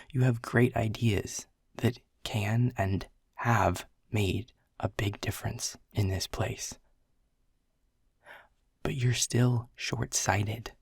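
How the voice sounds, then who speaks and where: A man's voice: lowish voice, darkish tone, dry recording, studio quality, full character voice. A man playing a world-weary, prickly male middle manager in a second-person audio roleplay, blunt and sarcastic, swearing often. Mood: earnest. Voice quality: gravelly, husky.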